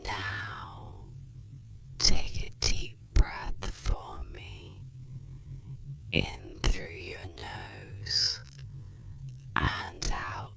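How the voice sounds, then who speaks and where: A breathy, gentle whisper, speaking soothing and reassuring words in the style of an ASMR guided relaxation session. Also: low voice, drawn-out words, pauses often. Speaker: ASMR therapist roleplayer.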